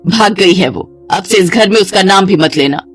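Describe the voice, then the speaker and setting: A woman's voice: mid-range voice, normal speed, slightly rough, faint music behind, close to an everyday voice. Woman voicing a pushy older village mother. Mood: commanding. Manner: commanding, coaxing.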